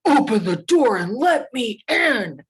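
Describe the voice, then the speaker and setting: Storybook villain: indignant bully of a wolf, loud and husky, performed by a woman. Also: a little high, sing-song, slow, full character voice. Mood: menacing. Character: angry, bullying male wolf demanding entry.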